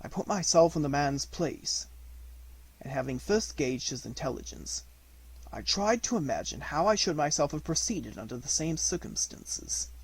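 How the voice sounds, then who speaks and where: A man with a fairly high, gravelly voice reads a classic detective story aloud as an amateur narrator. His intonation swings widely, and his delivery is deliberate and expressive, with a husky edge to the tone. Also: low-quality recording. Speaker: amateur audiobook reader.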